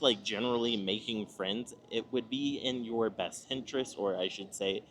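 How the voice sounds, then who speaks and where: An American man from Nebraska hosting an informal advice monologue, talking in a measured, explanatory way with emphatic pauses. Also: lowish voice, fairly bright tone, a little hiss or hum. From Nebraska, United States. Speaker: video host giving travel advice.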